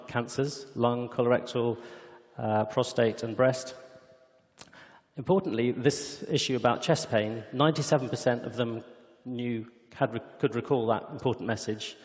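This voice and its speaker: A man's voice: medium pitch, darkish tone. A man speaking as an executive at a conference panel, explaining things in an articulate way. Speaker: company executive presenting at a conference. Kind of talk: conference presentation and panel discussion. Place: conference hall stage. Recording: hall reverb from conference stage.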